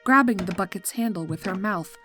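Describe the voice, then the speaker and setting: A woman narrating scripted fiction in an expressive, measured, drily comic storytelling style. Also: medium pitch. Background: music.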